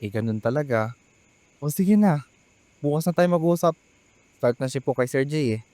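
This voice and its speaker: A man's voice: on the high side, lively intonation, normal speed, warm tone, no room sound, close to an everyday voice. A man with a Filipino accent playing a hardworking, overprotective young eldest brother in a radio drama. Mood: casual, tired.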